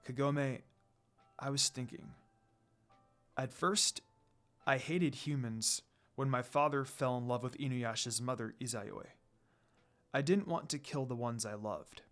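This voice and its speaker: A man voicing a solemn, tender demon lord in a fantasy story, speaking softly and in measured, earnest phrases. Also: soft background music, natural voice. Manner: low, soft, measured, earnest. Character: solemn, tender, cool-headed male demon lord in love.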